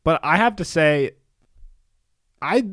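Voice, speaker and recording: A man's voice: fairly high pitch, lively intonation, slow, a bit bright, dry recording, studio quality. A young man co-hosting a podcast in casual chat, laughing often as he reads out lists and adds asides. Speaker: podcast co-host.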